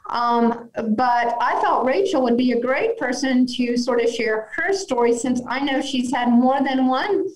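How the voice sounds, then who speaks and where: Woman in her 50s, doctor explaining calmly over a video call, slightly high voice, kinda roomy echo. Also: unhurried, hardly any pauses. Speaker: physician and missionary guest. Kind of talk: remote podcast interview. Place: office at home, indoors. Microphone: video call webcam. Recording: echoey room on video call.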